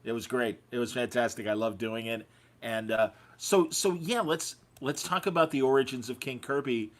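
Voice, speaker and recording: A man's voice: medium pitch, normal speed. A man hosting a podcast, chatting casually and effusively with his guests. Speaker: podcast host. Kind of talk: casual interview chat.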